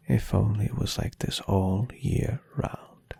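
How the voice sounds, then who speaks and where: A man voicing a gentle, adoring, centuries-old vampire boyfriend in a soft-spoken, tender and unhurried ASMR roleplay. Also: lowish voice, heavily acted. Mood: wistful, lonely. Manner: tender, breathy, unhurried, softly teasing.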